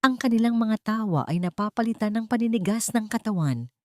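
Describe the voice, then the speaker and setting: A woman's voice: on the high side, animated, brisk, no room sound, studio quality. A woman narrating a scripted story in a dramatic style.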